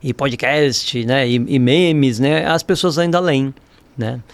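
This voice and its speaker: A man's voice: medium pitch, slow, clear, resonant tone, no room sound. A Brazilian man telling stories casually as a podcast guest, informal and often ending phrases with tag questions. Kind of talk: casual conversational storytelling.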